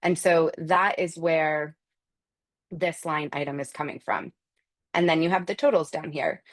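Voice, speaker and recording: A woman hosting a live webinar as a product trainer, explaining a software feature step by step in a conversational way and addressing attendees directly. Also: deep voice, steady tone, measured pace. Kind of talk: live screen-share walkthrough, instructional.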